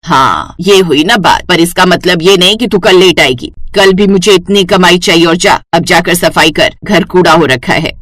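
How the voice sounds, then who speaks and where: A woman's voice: fairly low pitch, moderate pace, hardly any pauses, a bit bright, no room sound, clean studio sound. A woman voicing a cruel, greedy, domineering stepmother in a cartoon story, harsh and scolding. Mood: greedy, bossy.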